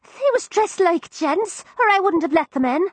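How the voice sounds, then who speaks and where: A frightened young Cockney maid babbles breathlessly. Her voice sits very high and sounds thinner than a natural speaking voice. She trips over rough, working-class grammar in a storybook reading. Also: lively intonation, normal speed, no room sound. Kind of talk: audiobook character voice.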